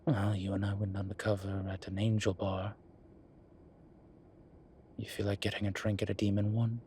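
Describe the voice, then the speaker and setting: A man acts out a wry, tired demon professor with a fairly low voice. He speaks in measured, drawn-out phrases, as in an intimate roleplay audio drama. Ambient noise sits behind the voice. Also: flat delivery, a little mellow, natural voice. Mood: dry, resolved. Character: weary, wry incubus demon professor, male. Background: ambient sound effects.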